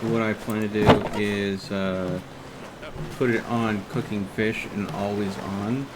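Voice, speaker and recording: A man's voice: fairly low pitch, level tone, takes it slowly, heavy background noise. A man giving casual live gameplay commentary, thinking aloud as he plays. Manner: thinks aloud, talking to himself and the game. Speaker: game streamer. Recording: game music behind the voice. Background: game music.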